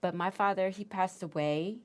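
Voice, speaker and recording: A woman's voice: medium pitch, moderate pace. A woman in her fifties with an American accent, recalling her past in a thoughtful, hesitant interview. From Korea, raised in the United States. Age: 50s. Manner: soft-spoken, thoughtful, with hesitant restarts. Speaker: interviewee, Korean adoptee. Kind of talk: reflective interview.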